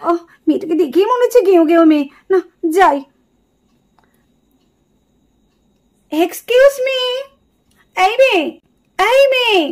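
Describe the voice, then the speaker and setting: A woman's voice: extremely high pitch, animated, brisk. A woman voicing a snobbish, fussy young city woman doll, whiny and haughty, in a puppet drama.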